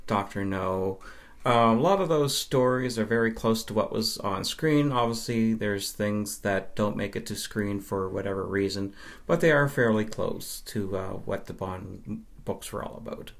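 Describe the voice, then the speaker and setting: A man with a fairly low voice talks as a guest on a podcast. He explains things conversationally, in measured phrases broken by pauses. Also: vocal fry. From Nova Scotia, Canada. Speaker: podcast guest, film fan. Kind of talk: conversational interview answers.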